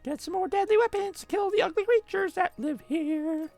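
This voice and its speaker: A man voicing a gentle, whimsical male children's-TV host with a sweetly cheerful, sing-song delivery. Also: very high-pitched, moderate pace, creaky, dry recording, faint music behind, pitched above the performer's own voice. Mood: mock-cheerful.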